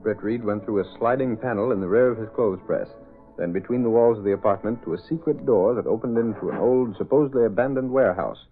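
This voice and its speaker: A man's voice: fairly low pitch, quick pace. A man narrating an old radio serial in a formal, dramatic announcer's style. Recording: muffled narrow-band old radio recording. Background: music.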